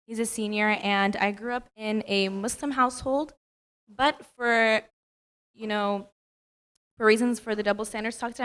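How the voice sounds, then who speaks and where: A woman's voice: medium pitch, a bit bright, smooth. An eighteen-year-old woman, a college student, introducing herself casually with some hesitant fillers.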